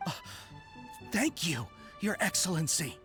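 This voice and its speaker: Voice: medium pitch, very animated, a little slow, music in the background. An actor voicing a chastened, deferential soldier in an anime game, respectful and formal. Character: deferential, chastened samurai soldier obeying a leader.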